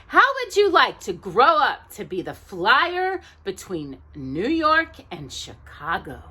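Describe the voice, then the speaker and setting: A woman's voice: mid-range voice, wildly swooping pitch, takes it slowly, bright, forceful tone, husky, full character voice. A woman voicing a kindly but firm old male head of a railway school in a children's storybook. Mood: admiring. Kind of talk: children's storybook read-aloud.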